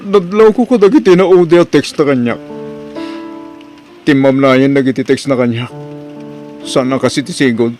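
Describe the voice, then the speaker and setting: A man's voice: medium pitch, moderate pace, long pauses, a little mellow, soft background music, natural voice. A man playing a worried, bewildered husband in an Ilocano radio drama, speaking hesitantly as if thinking aloud. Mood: anxious, upset. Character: worried, bewildered husband confiding in his mother.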